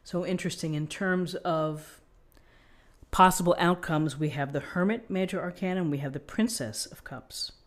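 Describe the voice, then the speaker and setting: A woman giving an unscripted tarot reading, explaining things in a gently encouraging manner. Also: lowish voice, normal speed. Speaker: tarot reader.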